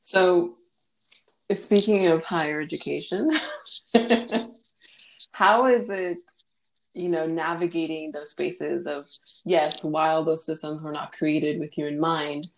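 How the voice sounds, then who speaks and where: A woman's voice: low-pitched, fairly even intonation, slow, long pauses, low-quality recording. A woman hosting an interview who asks long, rambling, thoughtful questions with many fillers. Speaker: host. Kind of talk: conversational interview.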